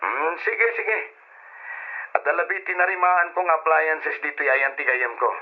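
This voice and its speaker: A man's voice: fairly high pitch, measured pace, natural voice. A man playing an easygoing, earnest young man in an old radio drama, with an Ilocano Filipino accent. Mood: easygoing, affectionate. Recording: dull lo-fi radio recording.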